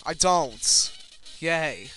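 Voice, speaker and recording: A man's voice: on the high side, lively intonation, drawn-out words, pushed and bright. A man giving casual, rambling video game commentary in a self-deprecating way, with casual swearing. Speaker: let's player. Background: video game music.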